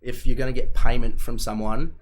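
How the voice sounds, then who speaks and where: A man's voice: mid-range voice, little pitch movement, fairly quick, slight background noise. A man in his twenties with a Perth Australian accent, a musician guest talking frankly and casually on a podcast, full of "like". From Perth, Western Australia. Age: 20s. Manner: laid-back, frank, heavy filler "like".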